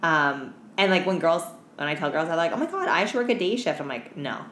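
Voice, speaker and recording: Young female podcast co-host, notably low pitch, tired, blunt slangy chatter, recorded in a noisy room. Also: very animated, fairly quick, a bit bright. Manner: slangy, blunt, heavy use of "like" and "um". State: tired, no sleep. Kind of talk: casual chat, telling work anecdotes. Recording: echoey room with clear background noise.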